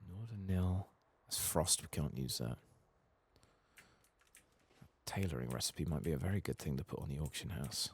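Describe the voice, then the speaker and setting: A man giving soft-spoken, sometimes whispered ASMR game commentary, muttering casually and swearing to himself. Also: extremely low pitch, lively intonation, normal speed, long pauses, slightly rough. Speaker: ASMR gaming streamer.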